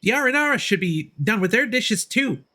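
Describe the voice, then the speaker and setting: A man's voice: high voice, very animated, normal speed, bright, forceful tone, pitched above the performer's own voice, full character voice. A man voicing a small, eager, childlike fairy companion with an exclamatory manner while reading out game dialogue. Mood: cheerful, eager. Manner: refers to self in third person, exclamatory.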